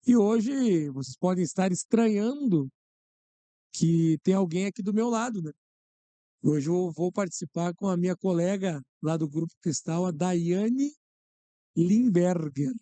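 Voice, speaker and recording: This is a man hosting a podcast. His voice is very dark and mellow, recorded dry and close. He opens grandly and jokes about himself. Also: high-pitched, animated, a little slow, no echo. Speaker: podcast host. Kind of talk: theatrical show host introduction.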